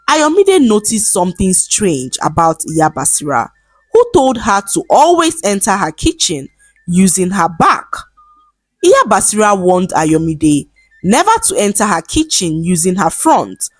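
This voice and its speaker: A woman's voice: medium pitch, breathy edge, no echo. Female folktale narrator. Dramatic, very melodic delivery, dry close sound over faint music. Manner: expressive, dramatic storytelling delivery. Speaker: storyteller.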